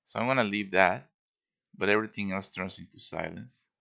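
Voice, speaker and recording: A man's voice: low voice, fairly quick, vocal fry, sharp tone. A man giving a casual show-and-tell tutorial as a game modder, informal and thinking aloud as he works.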